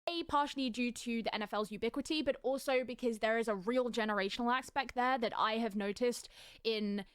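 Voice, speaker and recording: Smooth-voiced woman essayist. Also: a little high, no echo. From United Kingdom. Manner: articulate and measured, with occasional fillers. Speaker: video essayist. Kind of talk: analytical video essay narration.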